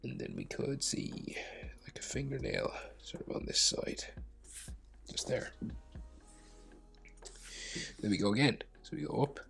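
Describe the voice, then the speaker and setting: A man with a head cold, narrating a step-by-step drawing tutorial, muttering quietly to himself and drifting into whispers. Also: medium pitch, huge pitch swings, moderate pace, creaky, soft background music. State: congested with a cold. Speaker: art tutor.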